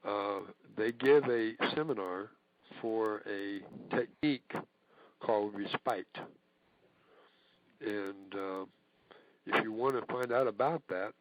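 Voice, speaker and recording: A man speaking on a recorded conference call, talking haltingly with many fillers and restarts. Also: fairly low pitch, low-quality recording. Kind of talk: casual talk on a conference call.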